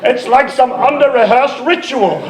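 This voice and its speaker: An actor playing an impatient, sarcastic adventurer film director who barks brisk orders in a live comic radio parody. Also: male voice, extremely high pitch. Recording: roomy, distant, noisy live theatre recording.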